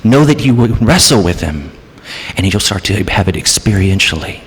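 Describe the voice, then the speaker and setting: A man preaching a sermon as pastor, dramatic, moving between hushed passages and raised, emphatic ones. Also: on the low side, very animated, moderate pace. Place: church sanctuary. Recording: church hall reverb.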